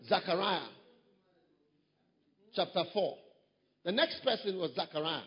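Husky male pastor, fairly high voice, preaching emphatically; dull recording with a long hall echo. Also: expressive, unhurried. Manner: emphatic, repetitive preaching with surges in volume. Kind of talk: sermon.

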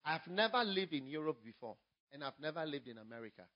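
A man with a Ghanaian English accent, a pastor preaching a sermon, moving from quiet storytelling to loud, emphatic preaching. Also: fairly high pitch, animated, quick pace, muffled recording.

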